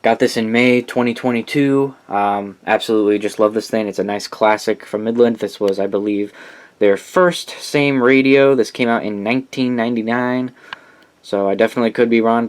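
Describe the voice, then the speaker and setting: A man's voice: fairly low pitch, fairly even intonation, moderate pace. A man giving a casual, unscripted show-and-tell as a hobbyist collector, rambling informally with enthusiasm.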